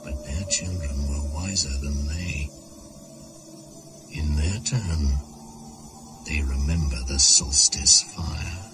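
A man's voice: a bass voice, slow, pauses often. An actor playing an ancient pagan shaman spirit, proud and brooding, in a solemn incantatory radio play monologue. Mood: bitter, proud. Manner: incantatory, solemn, poetic delivery. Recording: 1980s radio drama with music behind.

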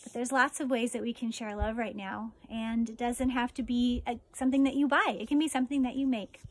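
An American woman in her forties, a teacher reading a children's picture book aloud outdoors with deliberate, expressive phrasing. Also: a little high, no echo. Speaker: elementary school teacher reading a story. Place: outdoors on a residential deck.

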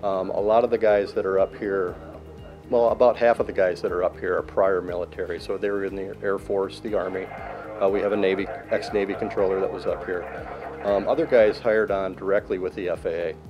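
A man in his fifties, an air traffic control manager, answering interview questions in a control tower in a plain, measured way with many uh's. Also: deep voice, fairly even intonation, muffled recording, music in the background. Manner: plain, measured, fills pauses with uh and um. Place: control tower cab, indoors, large windows. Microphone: handheld mic held by interviewer.

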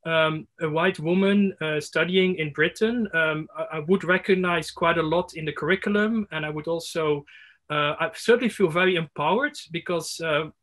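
A man's voice: a little high, moderate pace, a bit bright. A man in his thirties sharing a reflection at an online workshop, hesitant with many fillers and restarts, speaking over a laptop video call from a room at home. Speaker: workshop participant. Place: home room with window blinds.

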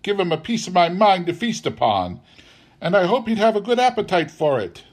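A scornful Victorian housewife from a storybook, acted by a man, raised far higher in pitch. The sharp-tongued delivery is emphatic and very animated. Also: moderate pace. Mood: indignant. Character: indignant, sharp-tongued Victorian wife and mother.

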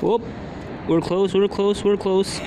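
A man's voice: sits high, flat delivery, slow. A man vlogging casually, muttering to himself in short bursts and exclamations as he does a task. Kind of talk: casual self-narration during a task. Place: outdoors on a tiled home balcony. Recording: clear ambient outdoor noise, passing vehicles.

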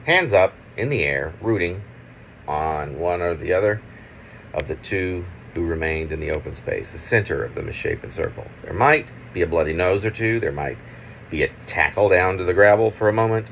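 A middle-aged man reads his own poetry in a low, gravelly voice that moves up and down in lively swings. His phrasing is measured and halting, broken into short pieces with offhand asides. It sounds recorded on a phone in a room at home. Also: a little hiss or hum. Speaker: poet reading own work. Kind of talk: poetry reading with casual asides. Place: small room at home, indoors. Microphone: phone at arm's length.

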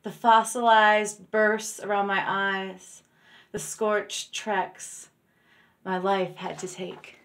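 A middle-aged woman poet reading her own verse aloud with deliberate, dramatic phrasing, recorded on a phone held at arm's length. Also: medium pitch, little pitch movement, a bit bright.

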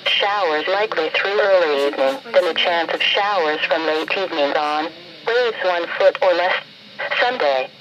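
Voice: mid-range voice, fairly bright tone. Muffled synthetic radio announcer. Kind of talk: scripted marine forecast reading. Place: played through a handheld radio indoors. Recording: muffled, noisy weather radio broadcast.

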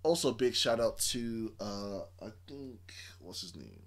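A man's voice: fairly low pitch, big pitch swings, a little slow. A man in his thirties chatting casually to camera, giving opinions in a hesitant, rambling, repetitive way. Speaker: reaction video host. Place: small room indoors.

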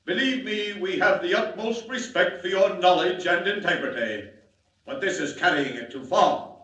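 An actor playing a dignified, dismissive council leader, formal and skeptical, in 1940s radio drama style. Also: male voice, sits high, moderate pace, sharp tone, recorded from a distance. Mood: condescending. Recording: muffled, echoey old radio recording.

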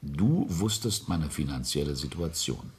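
Deep, gravelly, menacing patriarch. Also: male voice, lively intonation, a little mellow, a little hiss or hum, heavily acted. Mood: cold menace. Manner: sardonic, deliberate, with whispered drops. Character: menacing, mocking family patriarch and ambitious industrialist. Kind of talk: German radio play acting.

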